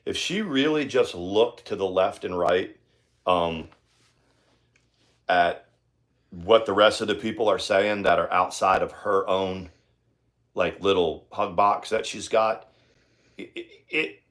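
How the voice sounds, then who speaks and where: A man's voice: low-pitched, slow, long pauses, fairly bright tone. A man from New England with a light New England accent co-hosting a casual livestream, rambling and searching for his words as he comments. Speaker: livestream co-host.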